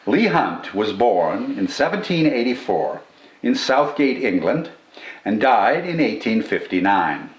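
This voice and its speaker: An older man reading a scripted literary commentary and reciting verse as host, in a measured, formal and expressive manner with deliberate phrasing. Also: medium pitch. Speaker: poetry channel host and reader. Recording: roomy indoor echo.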